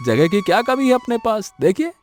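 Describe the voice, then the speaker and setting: A man's voice: high voice, big pitch swings, a little fast, soft background music. A man voicing a cheerful, generous grown son in a village cartoon story, respectful and good-humoured. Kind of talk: Hindi cartoon story dialogue.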